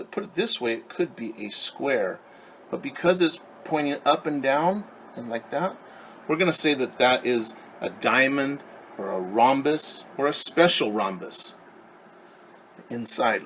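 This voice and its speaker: A man reading a picture book aloud to young children as their teacher, patiently asking them questions and pausing for answers. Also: medium pitch, a bit bright, a little hiss or hum. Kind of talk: children's storytime read-aloud.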